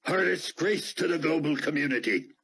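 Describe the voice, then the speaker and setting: A man's voice: fairly high pitch, lively intonation, fairly quick, creaky, fairly bright tone, breathy edge, voice pushed up, exaggerated voice, poor audio. A man voicing a pompous, indignant male official who speaks in a haughty, clipped way.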